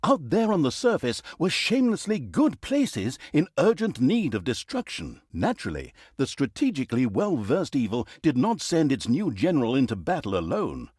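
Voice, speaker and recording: A male storyteller for a comedic fantasy game, pompous and sardonic. His mid-pitched voice swings dramatically up and down as he moves between mock-epic grandeur and wry, self-aware asides. The sound is very dry, with no room around it. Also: huge pitch swings, moderate pace, hardly any pauses, a little mellow, slightly rough, no room sound. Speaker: game narrator.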